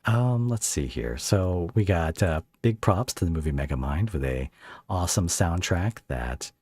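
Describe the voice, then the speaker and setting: Casual male podcast host, wide-swinging low voice. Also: expressive, measured pace, a little mellow, slightly rough, no room sound, clean studio sound. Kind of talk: casual interview chat.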